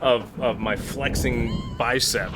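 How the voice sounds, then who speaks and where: A man playing a tabletop role-playing game in casual banter, excitable and playful, narrating his character's moves with dramatic flair. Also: mid-range voice, takes it slowly. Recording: noticeable background noise.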